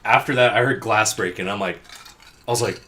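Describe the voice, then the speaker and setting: A man's voice: fairly low pitch, brisk, pushed and bright. A man hosting a podcast, casually telling an anecdote with plenty of quoted dialogue and swearing. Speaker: podcast host.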